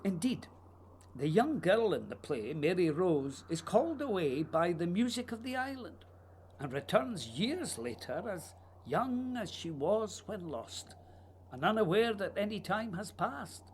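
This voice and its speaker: Guy doing dreamy intense writer, radio play, husky n slow but very animated, theatrical. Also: sits high, a little hiss or hum. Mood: dreamy, haunted. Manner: theatrical, emphatic, swooping. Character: intense, whimsical Scottish playwright, insistent and dreamy. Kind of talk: theatrical radio drama acting.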